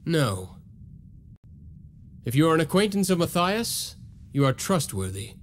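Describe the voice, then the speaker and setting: An actor playing a noble young vampire hunter in a video game, speaking in an earnest, solemn and formal way. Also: male voice, fairly high pitch, sing-song, a little slow, a little hiss or hum. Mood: resolute. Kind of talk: video game cutscene voice acting.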